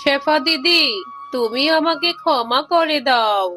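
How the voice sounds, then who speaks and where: A woman's voice: high-pitched, slow, sharp tone, faint music behind, heavily acted. A woman voicing a sly fox neighbour with a wheedling, pleading manner in a children's cartoon. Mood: remorseful.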